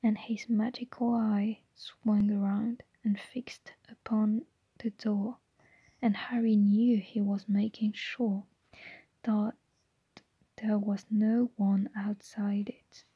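Brief: woman, breathy unvoiced whisper, slow, mellow, close and dry. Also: medium pitch, level tone, dry recording, lo-fi sound. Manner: soft unvoiced whisper throughout. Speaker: narrator. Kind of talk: ASMR audiobook reading.

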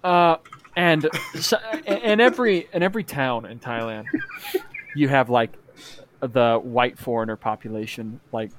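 A young man telling stories in casual podcast chat, animated and jumping into put-on voices. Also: unhurried, background noise. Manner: animated storyteller, jumps high into put-on voices. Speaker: podcast co-host.

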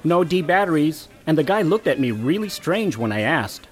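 A man's voice: a little high, very animated, moderate pace, faint music behind. A man playing a quirky, wisecracking friend in a comedy audio drama, with a wry manner. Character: wisecracking, quirky male gadget-hobbyist pal.

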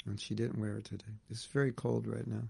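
A man's voice: on the low side, flat delivery, a little fast, creaky, dark tone, breathy edge. A man teaching an informal scripture class indoors, talking casually with restarts. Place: indoor room at home.

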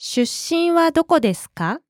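A woman with a very high voice models short phrases for learners to repeat. She speaks crisply and deliberately, sometimes slowly syllable by syllable. The sound is close and dry. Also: sing-song, dry recording. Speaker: native model speaker in a language lesson. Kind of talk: slow, careful phrase modeling.

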